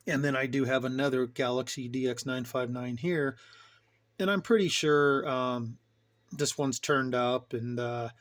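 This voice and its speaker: A middle-aged man giving a casual show-and-tell in a rambling, informal, enthusiast's way, like a hobby vlogger. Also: mid-range voice. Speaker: hobbyist vlogger showing his radio gear.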